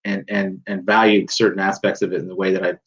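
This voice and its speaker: A man's voice: deep voice, little pitch movement, fast talker, a bit bright, lo-fi sound. A man speaking as a guest author on a panel, thoughtful and rambling with many restarts. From United States. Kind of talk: panel discussion.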